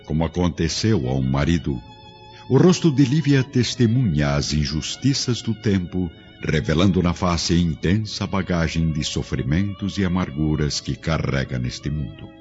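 Very deep, solemn male narrator. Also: animated, moderate pace, darkish tone. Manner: solemn, formal, theatrical. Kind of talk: dramatic scripted radio drama narration. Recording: dull lo-fi audio with music behind.

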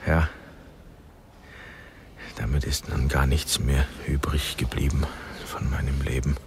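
A very deep, tired older father speaks in a radio play. He is resigned and mocks himself, pausing as he goes. Clear background noise surrounds the voice. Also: flat delivery. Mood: bitter, resigned. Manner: halting, resigned, bitterly self-deprecating. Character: weary, broken, self-mocking father, a failed scholar. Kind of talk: radio drama acting.

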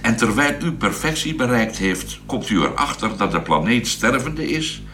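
An actor playing a curious, polite space traveller in a science-fiction radio drama, hesitantly searching for words. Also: male voice, mid-range voice, big pitch swings, moderate pace, pushed and bright. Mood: curious, probing. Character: curious, polite male space traveller questioning his hosts.

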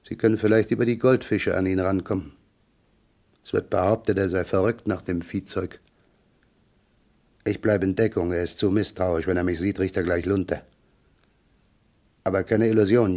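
A tough, wary crook from a noir radio play. The voice is deep with a heavy gravelly creak. He talks slang in a casual, sure-of-himself way, as if nothing rattles him. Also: a little mellow. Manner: offhand, slangy. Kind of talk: noir radio drama acting.

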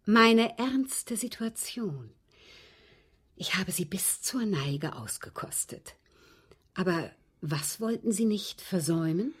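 A ladylike old widow in a radio drama, with a husky, breathy voice that rises and dips expressively. She speaks precisely and without hurry, composed and slyly evasive about what she has done. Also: medium pitch, expressive, takes it slowly. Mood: calmly evasive. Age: older. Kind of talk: German radio drama acting.

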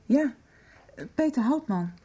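High, husky, earnest young woman. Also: expressive, slow, dark tone, heavily acted. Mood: earnest, searching. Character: young woman, earnest daughter seeking truth about her father. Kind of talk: radio drama dialogue.

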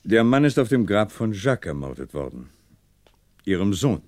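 Composed, wry middle-aged commissioner, low dry-sounding voice, polite probing questions, vintage radio drama. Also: male voice, very animated, moderate pace, darkish tone, no room sound. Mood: courteous, probing. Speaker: police commissioner in a crime radio play. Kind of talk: 1960s German radio drama acting.

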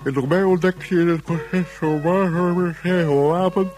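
An officious investigator in a sci-fi radio drama. His somewhat high voice reads deliberately over faint plucked strings. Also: fairly even intonation, a little mellow, soft background music. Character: officious male investigator reading a police report. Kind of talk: formal report narration in a radio play.

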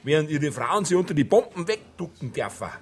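An actor playing an indignant, opinionated Bavarian pub regular in a radio crime play, ranting bluntly in rustic dialect. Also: male voice, fairly high pitch, very animated, a little fast.

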